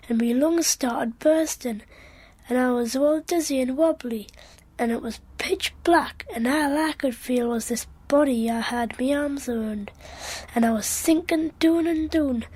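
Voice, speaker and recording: A woman's voice: sits high, darkish tone, husky, rough recording. A woman playing an earnest, inquisitive little Geordie boy in a radio drama, speaking in a naive, wide-eyed way. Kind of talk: radio drama monologue and dialogue.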